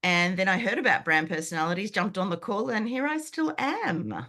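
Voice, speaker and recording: A woman in her fifties, croaky from a sinus infection, chatting enthusiastically as a guest on a video call, with lots of fillers and restarts. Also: mid-range voice, sing-song, moderate pace, bright, forceful tone. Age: 50s. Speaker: brand foundations coach. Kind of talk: guest on an online group call.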